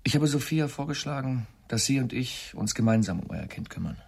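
An actor playing a guarded, earnest male astronomer in a German radio drama, husky and brisk with a dry, controlled manner. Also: mid-range voice, lively intonation, darkish tone. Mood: earnest, determined.

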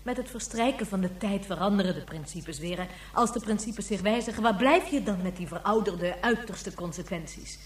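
An actress playing an earnest woman who argues in a measured, insistent way in a science fiction radio drama. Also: mid-range voice, close to an everyday voice. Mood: reflective, insistent. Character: earnest, probing woman defending endless life extension. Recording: echoey, distant, faint hum.

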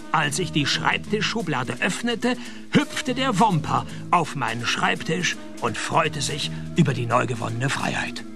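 A man playing a sardonic private detective in a radio play, narrating and speaking with dry, deadpan sarcasm. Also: a little high, creaky. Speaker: narrator and lead actor.

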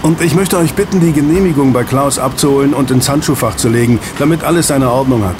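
An actor in an audio drama playing a friendly ex-agent who runs a snack bar, soothing and chatty. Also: male voice, mid-range voice, fast, close to an everyday voice. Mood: proud, chatty. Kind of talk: German audio drama dialogue. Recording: clear street and traffic ambience. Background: outdoor city street with vehicles.

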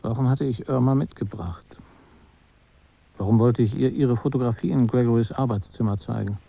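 An actor playing a man who narrates his memories in a literary radio drama, measured and understated, in slightly muffled sound. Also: mid-range voice, creaky, a little hiss or hum, lightly acted. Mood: reflective. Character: male narrator recalling a woman he knew.

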